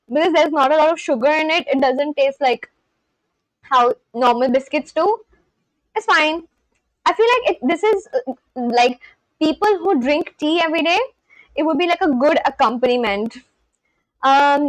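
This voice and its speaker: A woman's voice: high-pitched, a bit bright, no echo, low-quality recording. Chatty Indian woman streaming live. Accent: Indian English. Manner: chatty, reads viewer comments aloud, frequent likes and laughs. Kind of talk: casual live-stream chat with viewers.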